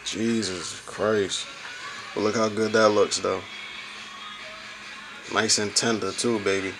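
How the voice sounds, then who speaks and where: A man's voice: lowish voice, fairly even intonation, slow pace, over music. A young American man chatting casually as a home cooking vlogger, with a slangy, offhand way of talking. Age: young adult. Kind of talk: casual chat while demonstrating cooking. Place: small home kitchen, indoors. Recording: clearly noisy amateur camera recording.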